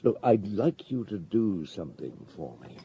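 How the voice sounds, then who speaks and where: An actor playing a shrewd, middle-aged police superintendent in a radio drama, gruff and authoritative, given to musing and terse questions. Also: male voice, lowish voice, very animated, moderate pace, dark tone, lo-fi sound, a little hiss or hum. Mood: brisk, commanding. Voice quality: gruff, husky. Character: shrewd, unhurried police superintendent on a murder case.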